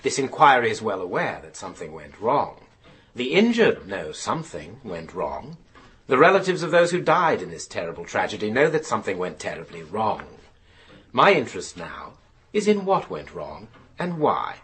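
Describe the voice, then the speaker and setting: An actor playing a stern, relentless inquiry official in a radio drama, formal, pressing and rhetorical. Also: male voice, lowish voice, huge pitch swings, moderate pace, fairly bright tone, slight background noise. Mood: stern, accusing. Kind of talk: formal radio drama courtroom-style acting.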